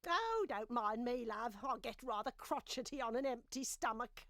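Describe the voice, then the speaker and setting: A woman's voice: a little high, animated, normal speed, a bit bright, no echo. A woman voicing a crotchety, coquettish old female dragon in an adventure game, theatrical, giggly and coy.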